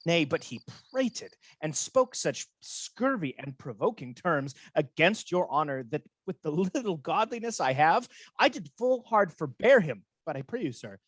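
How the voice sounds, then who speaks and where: Scheming military ensign played by a man, fairly high voice, measured Shakespearean delivery, close dry recording. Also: very animated, no room sound. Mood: confiding. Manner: measured, theatrical verse delivery. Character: scheming soldier ensign, slyly loyal to his general. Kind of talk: amateur Shakespeare radio play acting. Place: home, recorded over a video call.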